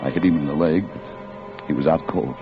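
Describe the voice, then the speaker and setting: Low-voiced, bitter crook, old radio. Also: male voice, normal speed, faint music behind. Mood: tense, confessional. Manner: brusque, world-weary, hard-boiled. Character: bitter, restless crook husband, confessing his story. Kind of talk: 1940s-50s radio drama acting and first-person narration. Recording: muffled old radio recording.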